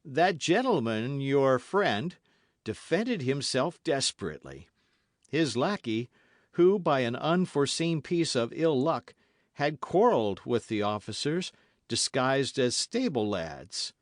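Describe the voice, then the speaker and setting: A man's voice: mid-range voice, very animated, takes it slowly, dry recording, studio quality, voice pushed up. A man reading aloud, voicing a frightened innkeeper who pleads pitifully for mercy. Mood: pleading. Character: trembling, pleading middle-aged male innkeeper.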